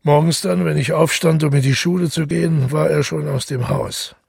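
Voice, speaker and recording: A male actor playing a brooding, self-doubting former stage actor in a radio drama monologue, musing haltingly in a husky, breathy voice. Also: a little high, steady tone, a little mellow, no room sound, clean studio sound, full character voice. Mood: wistful recollection. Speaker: narrator and lead of a radio play. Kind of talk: intimate first-person radio drama monologue.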